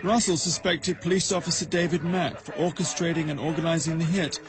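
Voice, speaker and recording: A man narrates a documentary from a script in a formal, factual manner. His voice sits a little high, and a music bed runs clearly underneath. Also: steady tone, a little fast.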